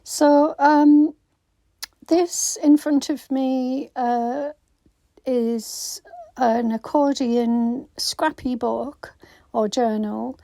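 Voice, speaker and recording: Craft vlogger woman, croaky, mellow and slow, lots of ums, dry voiceover. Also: high-pitched, fairly even intonation, dry recording. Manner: hesitant, many ums and restarts. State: croaky voice after illness. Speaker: craft channel host. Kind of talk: casual voiceover for a craft video. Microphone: phone.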